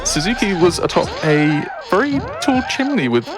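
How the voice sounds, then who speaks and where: A man with a British accent giving measured, slightly wry live commentary on a speedrun. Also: high-pitched, sing-song. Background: game music.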